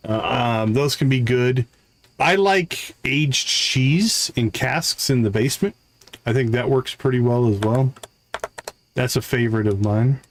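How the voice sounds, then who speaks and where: A man's voice: medium pitch, unhurried, slight background noise. A man giving casual live gameplay commentary, easygoing and explanatory as he chats with his viewers. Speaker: live game streamer.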